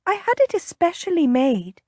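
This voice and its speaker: A woman's voice: sits high, animated, measured pace, a little mellow, no room sound, pitched above the performer's own voice. A woman voicing a chatty, impulsive young noblewoman in an audiobook, with a bubbly, coaxing, inquisitive manner. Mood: bright, enticing. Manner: bubbly, coaxing, earnest, prying.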